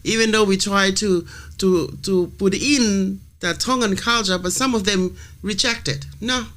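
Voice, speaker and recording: Hesitant radio host asking questions. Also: male voice, high-pitched, steady tone, a little slow, a bit bright. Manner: hesitant, searching for words with many fillers. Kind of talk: interview questions and backchannel.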